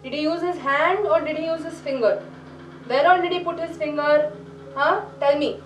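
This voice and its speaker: A woman's voice: high voice, moderate pace, natural voice. An actress playing an insensitive doctor with an Indian accent, insistent and patronising as she coaxes a child in a film. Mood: pushy, coaxing. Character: insensitive, pushy woman doctor questioning a child. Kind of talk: dramatic film acting. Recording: roomy, distant, music behind.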